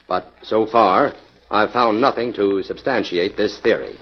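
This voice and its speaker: Deep-voiced radio detective. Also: male voice, slightly rough. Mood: businesslike. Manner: measured, authoritative, methodical. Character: calm, confident crime-fighting male investigator in charge. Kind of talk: 1940s radio drama acting. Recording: muffled, noisy 1940s radio recording.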